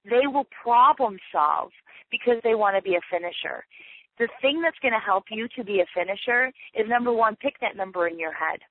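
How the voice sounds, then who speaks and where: A woman's voice: on the high side, lively intonation, moderate pace. A woman leading a motivational sales training talk for her team, chatty and emphatic, often repeating her points. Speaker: direct-sales team leader. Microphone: phone call. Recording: tinny dry phone line.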